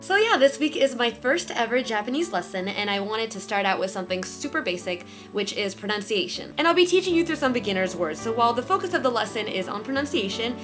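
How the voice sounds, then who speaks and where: A young woman giving a beginner language lesson from her bedroom, careful and clear as she demonstrates sounds on a webcam. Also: on the high side, sing-song, quick pace, hardly any pauses. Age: young adult. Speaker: language teacher. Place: small bedroom, indoors. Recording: music under the voice.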